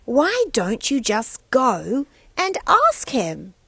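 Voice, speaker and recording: A woman's voice: fairly high pitch, wildly swooping pitch, extremely slow, vocal fry, pushed and bright, slightly rough, dry recording, exaggerated voice. A woman voicing a kindly, breezy mother with a coaxing, unworried manner in a children's storybook. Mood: reassuring. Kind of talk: read-aloud children's storybook.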